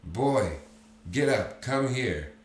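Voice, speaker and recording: Man doing a slurring, anguished drunk sergeant and father, deep voice, roomy echo. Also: takes it slowly. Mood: desperate. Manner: slurred, anguished, loud outbursts. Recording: roomy echo, faint background noise.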